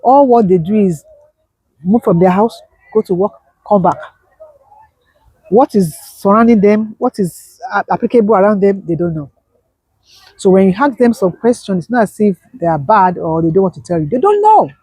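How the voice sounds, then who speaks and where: A woman's voice: medium pitch, lively intonation, normal speed, warm tone, breathy edge, no echo, rough recording. A woman, a business owner, speaking as an interview guest and emphatically repeating her key points. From Nigeria. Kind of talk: conversational interview.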